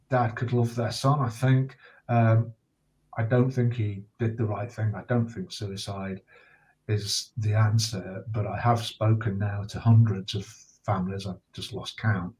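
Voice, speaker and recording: A middle-aged man speaking as an interview guest, giving serious personal testimony in a measured, halting way with deliberate pauses. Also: lowish voice, level tone, darkish tone, low-quality recording. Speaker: interview guest, bereaved father and campaigner.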